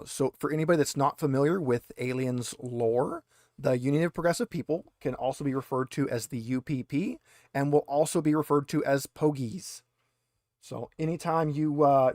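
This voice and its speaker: A man's voice: a little high, darkish tone, no echo. Casual male game master, dry close sound. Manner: conversational, wry asides. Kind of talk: casual tabletop game narration.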